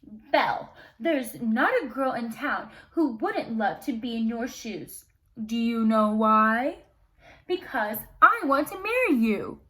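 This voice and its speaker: Woman acting a smug storybook male suitor, conceited, boastful, bullying, drawn-out words. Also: on the high side, lively intonation, pushed and bright, heavily acted.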